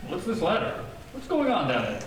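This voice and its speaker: A woman playing a gruff, bemused father in an old-time radio comedy. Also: lowish voice, huge pitch swings, fairly quick, heavy reverb, far from the mic. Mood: suspicious, bemused. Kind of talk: 1940s radio comedy acting.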